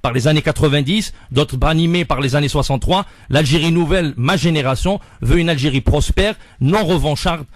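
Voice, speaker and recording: A man's voice: on the high side, brisk, few pauses, no echo, clean studio sound. Emphatic man making a political case. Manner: emphatic, stumbles and repeats words. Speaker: studio guest commentator. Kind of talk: political debate.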